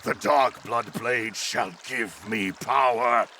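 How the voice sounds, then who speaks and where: A man voicing a proud, power-mad warrior villain in a video game, gravelly and menacing, with theatrical, imperious delivery over dramatic music. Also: medium pitch, takes it slowly, pushed and bright, a little hiss or hum. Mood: seething rage.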